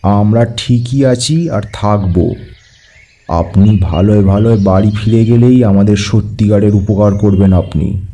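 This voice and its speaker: A man playing a middle-aged, cautious and polite village headmaster in an audio story, speaking deliberately. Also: lowish voice, dark tone. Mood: alarmed pleading. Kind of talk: Bengali audio story acting.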